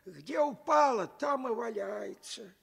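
A man voicing a soothing old village grandfather in a radio fairy tale, with drawn-out, emphatic consoling. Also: extremely high pitch, vocal fry, a bit bright, husky, pitched above the performer's own voice, full character voice.

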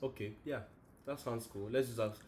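A young man from South Africa reacting to a song on a sofa, excitable and laughing often. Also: mid-range voice, moderate pace. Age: young adult. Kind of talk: casual reaction chat. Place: living room sofa, indoors.

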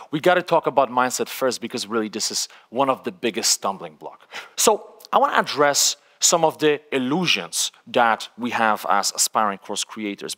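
A man in his thirties from Poland with a Polish accent, giving an energetic, conversational workshop talk on a large conference stage, often tagging lines with "right?". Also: medium pitch. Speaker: speaker. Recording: long hall reverb.

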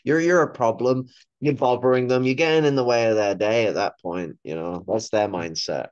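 A man's voice: mid-range voice, moderate pace, clear, resonant tone, no echo, highs cut off. A young man giving blunt, sweary political commentary in a casual way over a video call. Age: young adult. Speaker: panel participant. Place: indoor room at home. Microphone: video call microphone.

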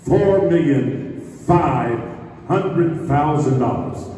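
A man working as an auctioneer, calling out bids in a drawn-out, chanting way, recorded from the audience. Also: a little high, lively intonation, dark tone, slight background noise. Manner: drawn-out, chanting bid calls. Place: large hall with stage. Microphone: podium mic, filmed from the audience. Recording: echoey hall from far off.

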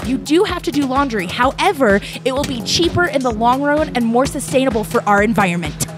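A woman guest on a podcast, bubbly and excitable, chatting and arguing her side of a friendly debate. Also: sits high, brisk, over music. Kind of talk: casual podcast chat and timed debate.

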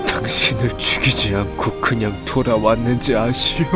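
A man voicing a bitter young Joseon crown prince pleading with his father in a historical audio drama, slow and resentful. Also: lowish voice, steady tone, lightly acted. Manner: slow, resentful delivery, breaking into pleading cries. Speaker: voice actor. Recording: with music underneath.

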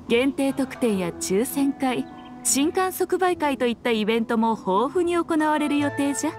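Sly ancient shrine maiden, high elegant voice, archaic wording, haughty, teasing, anime game, music underneath. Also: female voice. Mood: informative. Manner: archaic, haughty, playfully self-assured speech. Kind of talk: Japanese anime game voice acting.

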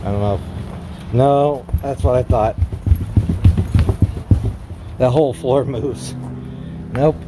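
A man exploring an abandoned barn, giving casual, relaxed commentary on an action camera. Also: medium pitch, slow pace, long pauses, over loud music, low-quality recording. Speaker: explorer vlogger.

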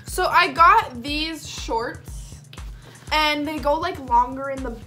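A woman's voice: high-pitched, takes it slowly, pushed and bright. A young American woman chatting in casual, slangy speech to the camera in a bedroom, as in a clothing haul vlog. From lives in Las Vegas, United States. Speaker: video blogger. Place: small bedroom, indoors. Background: background music.

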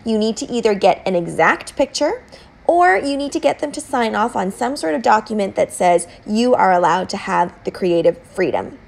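A woman narrating scripted instructional tips as a home baker, explaining informally with clear emphasis on key points. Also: mid-range voice, expressive, moderate pace.

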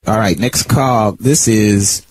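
A man's voice: on the low side, slow. A man working as the radio co-host, announcing each caller briefly.

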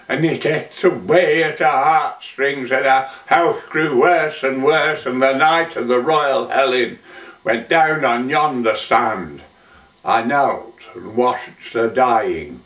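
A roomy, distant recording of a man acting as a weathered old lifeboatman. He tells his tale in folksy verse at a slow, measured pace. His manner is plain-spoken and sorrowful, like a stage monologue. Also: medium pitch, a bit bright. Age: older. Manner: measured, folksy storytelling with rustic dialect. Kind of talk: dramatic verse monologue recitation. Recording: roomy, dull, distant microphone.

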